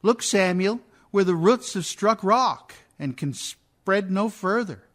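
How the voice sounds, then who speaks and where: Radio drama: actor as a disgruntled ditch digger, forceful, slightly high male voice, blunt and emphatic. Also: lively intonation, slow, full character voice. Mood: bitter insistence. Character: plain-spoken, disgruntled dead ditch digger. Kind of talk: dramatic verse monologue for radio.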